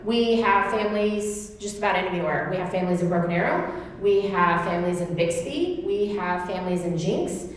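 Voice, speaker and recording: A woman's voice: fairly low pitch, moderate pace, a little hiss or hum. A woman from Oklahoma with an Oklahoma accent whose first language was Turkish, chatting at length as a guest speaker to a church class. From Tulsa, Oklahoma. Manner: chatty, answers questions at length. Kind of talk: informal class talk. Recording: echoey hall with long reverb.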